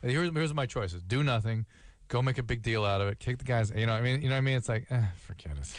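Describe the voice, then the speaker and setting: A male radio host interviewing a guest in a quick, joking, chatty way. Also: medium pitch, dry recording, studio quality. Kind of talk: casual interview chat.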